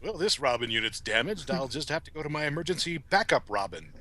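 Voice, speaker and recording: A man's voice: on the high side, sing-song, quick pace, pushed and bright. A man co-hosting a casual podcast discussion in a wry, joking way. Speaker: podcast co-host. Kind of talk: casual humorous episode discussion.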